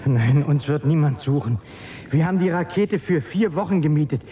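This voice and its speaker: A man's voice: expressive, moderate pace, close to an everyday voice. A man playing an anxious, doubting stranded space traveller in a radio drama, speaking in a hesitant, agitated way. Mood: insistent. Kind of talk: German radio drama acting. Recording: dull lo-fi radio recording, faint rumble.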